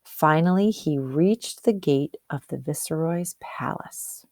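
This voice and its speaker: A woman teacher with a slightly low voice reads a storybook aloud. She moves between narration and explanation, asking and answering her own questions. The recording is dry and close. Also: slow, darkish tone, no room sound.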